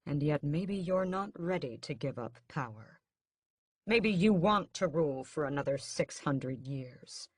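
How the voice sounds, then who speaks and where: A woman voicing a hot-tempered young vampire prince in an audiobook, forceful and clipped. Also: low voice, normal speed, breathy edge, no echo, clean studio sound. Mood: angry. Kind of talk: audiobook character voice.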